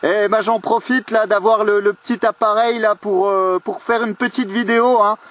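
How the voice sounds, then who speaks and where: A man's voice: high-pitched, fairly even intonation, normal speed, fairly bright tone, no echo. A man chatting casually as an amateur radio operator, trading call signs and friendly remarks.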